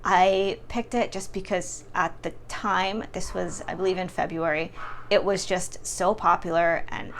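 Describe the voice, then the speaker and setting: A woman's voice: on the low side, steady tone, a little slow. A young adult woman giving a casual, chatty book review as a vlogger talking to her audience. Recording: clearly audible background noise.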